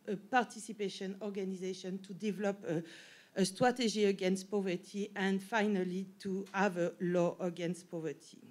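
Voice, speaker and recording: A woman's voice: medium pitch, a little mellow. A woman with a French accent and French as her first language, giving an academic conference talk with frequent restarts and self-corrections. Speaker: professor and panel speaker.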